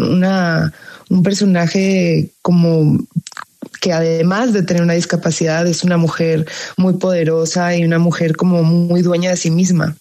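A woman's voice: on the low side, dark tone. A young adult woman from Colombia with a paisa accent, answering interview questions thoughtfully with many fillers and restarts. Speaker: actress guest.

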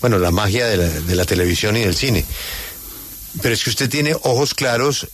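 A man's voice: fairly low pitch, expressive, moderate pace, slight background noise. A man hosting an interview, asking casual questions in a joking, informal way. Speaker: interviewer host.

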